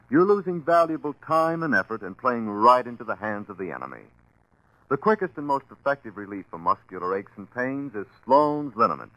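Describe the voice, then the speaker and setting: A man's voice: mid-range voice, sharp tone, no echo, poor audio. A man announcing and reading commercials on 1940s radio in an emphatic, salesmanlike way. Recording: vintage 1940s radio broadcast.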